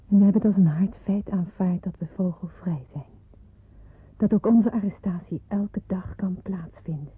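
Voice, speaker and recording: Radio play, teenage girl narrator: breathy, earnest, yearning, solemn; muffled narrow-band sound. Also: medium pitch. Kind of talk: radio drama narration and acting.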